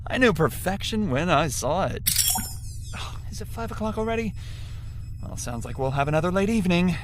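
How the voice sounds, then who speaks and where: A man's voice: sits high, sing-song, normal speed, noisy background. A man playing a suave, doting game show boss in an audio drama, talking in a wry, theatrical way. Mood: amused, fond.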